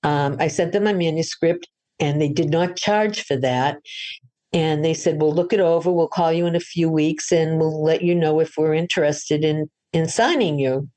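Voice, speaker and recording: A woman's voice: sits low, normal speed, warm tone. An older woman from Boston, an author, chatting through her interview answers in a storytelling way, with frequent restarts. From Boston, USA.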